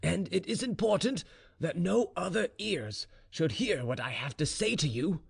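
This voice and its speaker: A man voicing a stern, suspicious Russian general and troubled father, speaking formally and gravely in a storybook reading. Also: sits high, lively intonation, moderate pace, voice pushed up, heavily acted. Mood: grave, guarded.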